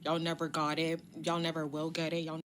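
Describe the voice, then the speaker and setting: A woman's voice: low voice, completely flat delivery, brisk, a bit bright. A woman from Nigeria talking in a casual monologue video, sassy and playful, mixing in Spanish phrases. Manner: sassy, playful, code-switching.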